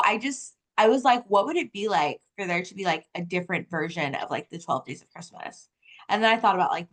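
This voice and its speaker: A woman, chatting casually as an author guest in an interview and telling things with animation, often saying "like". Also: lowish voice. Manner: animated storytelling, heavy use of "like".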